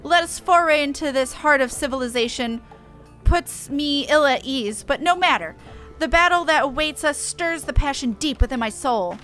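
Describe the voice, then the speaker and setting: A woman voicing a brash, proud female beastman warrior eager for battle, forceful and theatrical with archaic phrasing. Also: high-pitched, expressive, normal speed, faint music behind. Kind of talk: reading game dialogue aloud.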